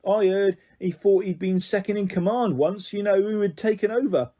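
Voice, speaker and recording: A man voicing an excitable, gossipy young male bus conductor who talks in a chatty, dramatic way. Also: high voice, normal speed, voice pushed up.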